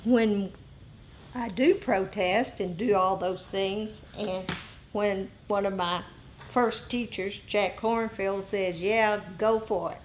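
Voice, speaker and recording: A woman meditation teacher giving a dharma talk to a group, speaking deliberately in short phrases with rhetorical questions. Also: mid-range voice, steady tone, rough recording.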